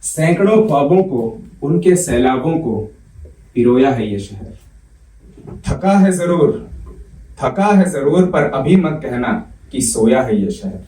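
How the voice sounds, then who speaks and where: A young man on a comedy club stage, reciting poems in a measured way and bantering quickly between them. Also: medium pitch, big pitch swings, dark tone. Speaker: poet and comedian. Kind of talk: poetry recital with stand-up banter. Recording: roomy club sound from a distance.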